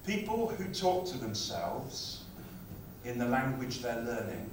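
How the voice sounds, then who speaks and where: A man giving a conference talk on language teaching, speaking in a lively, conversational way. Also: mid-range voice, slow, slight background noise. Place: conference hall. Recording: very echoey hall, far from mic.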